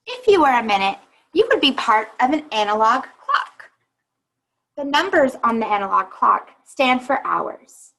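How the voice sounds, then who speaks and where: Lady teacher doing a kids read aloud, very up and down intonation, bit breathy, stops to explain stuff. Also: fairly high pitch, big pitch swings, fairly bright tone, poor audio. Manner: instructive, pauses to think aloud and explain. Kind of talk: children's picture book read aloud with teaching asides.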